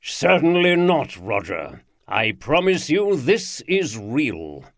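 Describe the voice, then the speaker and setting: A man voicing a pompous aristocratic lord in a storybook, with a rich baritone, an aristocratic drawl and slow, grand, theatrical delivery. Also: sing-song, dry recording, clean studio sound, exaggerated voice. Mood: assured.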